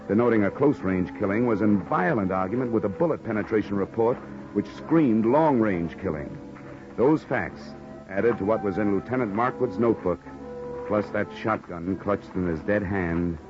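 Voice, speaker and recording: A low-pitched, hard-boiled American investigator acted by a man tells his case like a noir radio serial. His delivery is clipped and sardonic, tossing off dry wisecracks. The sound is a dulled old broadcast, with music clearly underneath. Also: lightly acted. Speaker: lead actor and narrator. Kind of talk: old-time radio noir detective acting. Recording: muffled old radio recording, music behind.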